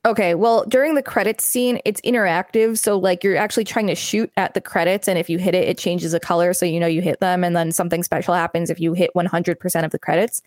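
A woman's voice: fairly low pitch, fairly even intonation, fast talker, hardly any pauses, a little mellow, no echo, studio quality. A woman co-hosting a podcast, reading an encyclopedia article aloud and adding casual asides. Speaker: podcast co-host.

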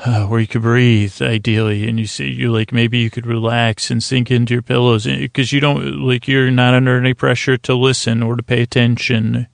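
Creaky, monotone man lulling listeners to sleep. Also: mid-range voice, no echo. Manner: lulling, soothing delivery; meandering, halting, trailing off mid-thought. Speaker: podcast host. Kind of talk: rambling bedtime sleep podcast monologue.

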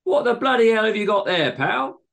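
Exasperated, demanding husband, acted by a man: raised pitch, swooping pantomime irritation, comic story. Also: big pitch swings, slow, vocal fry, a bit bright, full character voice. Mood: suspicious. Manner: pantomime irritation, blunt outbursts.

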